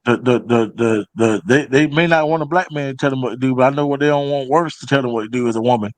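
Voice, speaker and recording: A man of average pitch gives his opinions in a casual panel chat. He is insistent, repeating his opening words to hold the floor. The recording is dry, with no room sound. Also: fast talker, dry recording.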